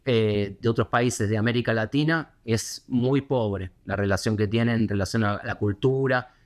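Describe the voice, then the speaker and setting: A man with a Buenos Aires accent, a correspondent answering interview questions in a reflective, halting way with many fillers and restarts. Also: fairly low pitch. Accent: Buenos Aires Spanish. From Argentina.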